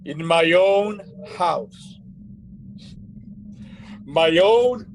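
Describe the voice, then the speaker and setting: A man's voice: high-pitched, animated, extremely slow, heavily acted. An actor playing a proud, bitter, hard-drinking old father with a stern, commanding manner in a dramatic play reading. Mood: bitter, lonely.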